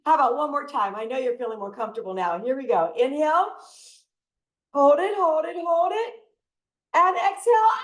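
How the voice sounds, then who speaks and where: A woman guides breathing and arm movements, often breaking into bursts of laughter. Her voice sits on the higher side. She speaks in an echoey room over a video call. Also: normal speed. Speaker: laughter yoga instructor. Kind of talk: guided exercise instruction. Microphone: computer mic on a video call.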